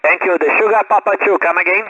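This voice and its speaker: A man's voice: high voice, steady tone. Man on very noisy radio. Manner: brisk, repetitive, clipped. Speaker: amateur radio operator running contacts. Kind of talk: rapid procedural radio exchanges with phonetic callsigns. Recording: dull lo-fi radio sound, loud static noise.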